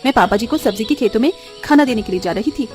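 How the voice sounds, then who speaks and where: A woman's voice: on the high side, lively intonation, fast, over music, lightly acted. Woman playing a cheerful village daughter-in-law. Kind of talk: acted cartoon story dialogue.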